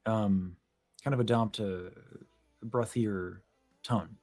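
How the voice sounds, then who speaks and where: A man's voice: lowish voice, steady tone, slow, darkish tone. A young man hosting a casual chat, explaining vocal technique in an analytical, detailed way. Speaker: host, vocal coach. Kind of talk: casual video-call chat about singing.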